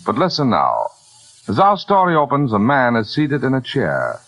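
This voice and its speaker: A man's voice: mid-range voice, huge pitch swings, moderate pace. An American man announcing and narrating a 1940s radio drama in a formal, dramatic style. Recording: vintage 1940s radio with loud music. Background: loud music.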